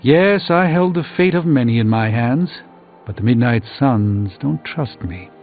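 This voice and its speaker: Weary proud sorcerer, middle-aged, measured, erudite, dryly wry, video game dialogue, dulled audio, music behind. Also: male voice, medium pitch, expressive, narrow, tinny audio. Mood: troubled, reflective.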